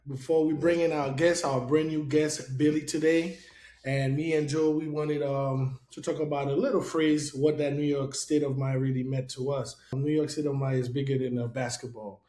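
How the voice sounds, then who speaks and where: A man's voice: on the high side, fairly even intonation, moderate pace, dark tone. A man from Haiti hosting a casual podcast chat, loose and rambling with lots of fillers. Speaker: podcast host. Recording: roomy echo.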